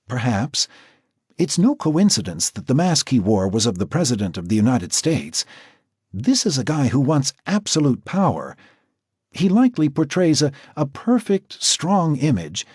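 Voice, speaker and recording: A man reading an audiobook, voicing a calm, clinical woman doctor in a measured, analytical way. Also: medium pitch, slightly rough, dry recording, studio quality, voice pushed up.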